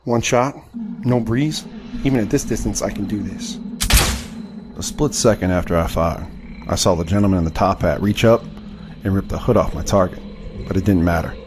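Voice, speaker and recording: A man's voice: deep voice, normal speed, long pauses, noisy background, close to an everyday voice. An actor playing a proud, indignant Southern crossbowman of the 1870s in an audio drama, with formal, theatrical speech. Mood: grave narrating. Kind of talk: audio drama with first-person narration.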